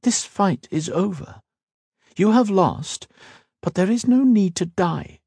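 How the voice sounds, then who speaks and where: A calm, coaxing elf woman who is secretly a monster, as a male narrator puts her on. The voice sits high for him and moves through a lively range, softly measured and persuasive. It is a dry, close storybook read. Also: breathy edge, no room sound, full character voice.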